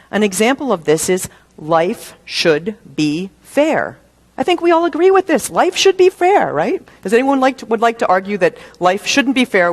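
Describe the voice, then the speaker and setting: A woman minister delivering a sermon in a measured, deliberate way, using rhetorical questions and repetition for emphasis. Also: fairly low pitch. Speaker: minister preaching.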